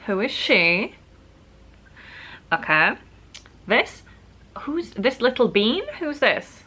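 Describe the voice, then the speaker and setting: A woman's voice: a little high, sing-song, takes it slowly, pushed and bright, slightly rough. A woman vlogger, sick and wheezy with a bad cough, chatting casually to camera and poking fun at herself. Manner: chatty, self-mocking, many "like" fillers.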